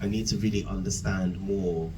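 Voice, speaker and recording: A man, speaking as a mentor to a room, measured with filler ums. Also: low voice, fairly even intonation, recorded from a distance. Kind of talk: reflective talk to an audience. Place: room with an audience. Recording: from across a room, room noise.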